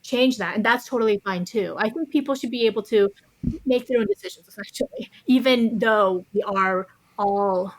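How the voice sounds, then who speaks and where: A woman's voice: fairly high pitch, normal speed, no echo, rough recording. A woman speaking as a podcast guest in a thoughtful, conversational way, carefully qualifying her points with nuance.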